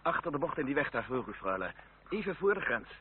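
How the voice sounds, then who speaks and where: Clipped, formal cavalry captain, played by an actor. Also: male voice, medium pitch, big pitch swings, quick pace, lightly acted. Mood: deferential. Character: gruff cavalry captain, curt with men, deferential to ladies. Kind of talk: Dutch radio play acting. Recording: old hissy muffled radio play recording.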